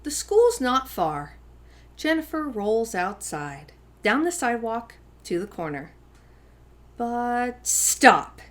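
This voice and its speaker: A woman hosting children's storytime, reading a picture book aloud expressively, with dramatic emphasis on key words. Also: medium pitch.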